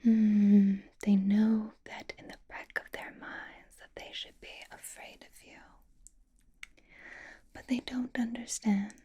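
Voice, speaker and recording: A woman playing a flirtatious, possessive female vampire in an ASMR roleplay, softly whispering with teasing endearments. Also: mid-range voice. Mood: flirtatious, amused. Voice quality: soft breathy whisper. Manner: slow, teasing, drawling endearments.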